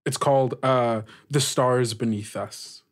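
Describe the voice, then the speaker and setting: A man narrating a tabletop roleplaying game as game master, in a halting, deliberate way with pauses mid-phrase. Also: mid-range voice, steady tone.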